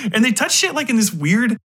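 A man's voice: extremely high pitch, sing-song, a little slow, bright, forceful tone, husky. A man hosting a podcast, delivering a casual, sweary rant in a sarcastic, exasperated and emphatic manner. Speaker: podcast host. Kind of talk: casual profane movie rant.

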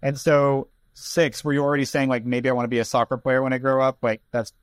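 A man's voice: medium pitch, little pitch movement, fast talker, clear, resonant tone, dry recording, clean studio sound. A man hosting a podcast interview in a casual, informal and inquisitive way, with some joking.

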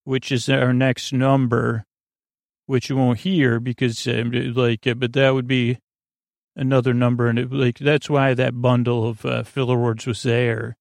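Creaky-voiced, soothing, rambling man. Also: medium pitch, flat delivery, unhurried, no room sound, clean studio sound. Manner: meandering, hesitant, full of tangents and filler words; soothing, lulling delivery. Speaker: podcast host. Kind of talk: rambling bedtime sleep podcast monologue.

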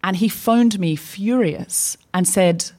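A chatty woman telling a long anecdote on a live comedy podcast stage. She plays out every line of dialogue in her story, slipping into each person's part. Her pitch sits in the middle range for a woman. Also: slow pace, a little mellow. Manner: chatty, anecdotal, acts out both sides of dialogue. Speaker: podcast co-host. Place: live stage before an audience.

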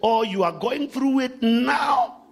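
A man preaching a sermon to a congregation, animated, swinging from shouts to hushed asides. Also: extremely high pitch, slow pace, gravelly.

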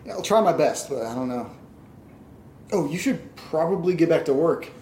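A man's voice: on the high side, lively intonation, moderate pace, dark tone, slight background noise, lightly acted. A man acting an anxious, mopey, sardonic young man in a naturalistic audio drama, speaking hesitantly. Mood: uneasy.

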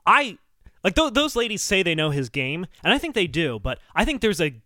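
A man's voice: high-pitched, sing-song, fairly quick, bright, forceful tone, no echo, clean studio sound. A man co-hosting a comedy podcast in casual banter, playfully over-analysing as he talks.

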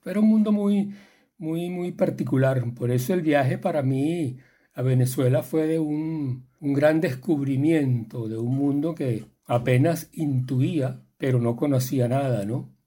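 A man's voice: medium pitch, measured pace, mellow. An older man from the Canary Islands, a guest filmmaker, telling stories from his life in a reflective, rambling way with many restarts. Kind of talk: relaxed storytelling.

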